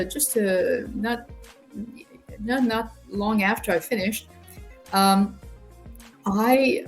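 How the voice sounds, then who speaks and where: A woman from rural eastern Ontario whose first language is French talks as an interview guest. Her pitch is middling, and she explains her story conversationally, restarting and repeating words as she goes. Soft music plays faintly underneath. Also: rough recording. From rural Ontario near Quebec, Canada. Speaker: interview guest, human rights youth organisation director.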